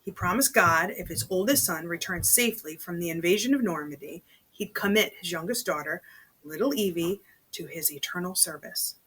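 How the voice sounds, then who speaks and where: A woman's voice: on the low side, normal speed, a bit bright, breathy edge, poor audio. A woman reading a novel aloud as a storytelling host, chatty, dropping into casual muttered asides. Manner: chatty, breaks off to comment in undertones.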